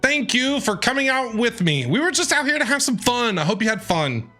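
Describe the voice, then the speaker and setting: A woman's voice: a little high, big pitch swings, a little fast, pushed and bright. A woman game streamer giving casual live commentary, playfully talking back to the characters. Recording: game music behind the voice.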